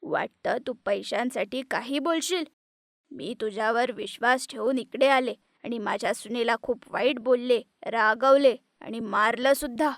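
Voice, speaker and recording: A woman's voice: a little high, unhurried, no echo, clean studio sound, pitched below the performer's own voice. Woman putting on a harsh scolding mother-in-law. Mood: angry. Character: stern greedy middle-aged mother-in-law.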